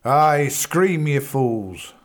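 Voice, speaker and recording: A man voicing a fierce, gruff male Bow Street officer with blunt, rough old-fashioned speech in an audiobook story. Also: lowish voice, unhurried. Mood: furious.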